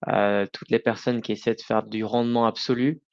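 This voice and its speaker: A man's voice: on the low side, little pitch movement, vocal fry, dull audio. A middle-aged man answering interview questions informally, often breaking off and rephrasing. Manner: informal, halting, self-correcting. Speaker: podcast guest, company founder.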